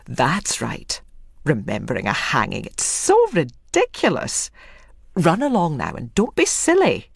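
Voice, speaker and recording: Woman reader doing a strict matron, scolding, firm and coaxing, husky, lively pitch swings. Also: a little slow, no echo, studio quality, exaggerated voice. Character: stern middle-aged woman matron scolding a girl. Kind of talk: audiobook reading.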